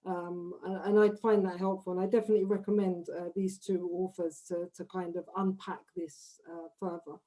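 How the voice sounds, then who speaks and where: A mellow-voiced woman speaking on a video-call panel. She talks in academic terms but hesitates often, filling her pauses with "uh". Her voice has a soft, warm tone. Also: lowish voice, little pitch movement, poor audio.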